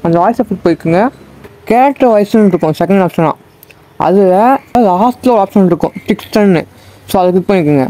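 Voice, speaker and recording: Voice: mid-range voice, fairly quick, warm tone, low-quality recording. A presenter giving a casual, step-by-step how-to narration. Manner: instructional, step-by-step. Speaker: tutorial presenter.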